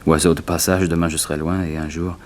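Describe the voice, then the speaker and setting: A middle-aged actor playing a suave, romantic gentleman thief with tender, courtly, theatrical phrasing in a 1960s French radio serial. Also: rumbling low, flat delivery, fast talker, a little hiss or hum. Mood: tender, wistful.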